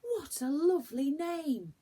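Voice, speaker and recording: Woman as excitable young girl, storybook character, curious, eager, wondering, swooping lively pitch. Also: measured pace. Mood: excited.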